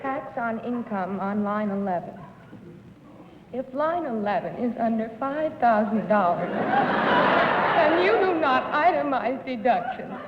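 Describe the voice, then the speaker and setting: A woman's voice: a little high, lively intonation, slow, lo-fi sound. A young woman playing a mournful, sorrowful character in a dramatic reading on 1950s television. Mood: sad. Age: young adult. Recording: very noisy, echoey 1950s TV, crowd behind.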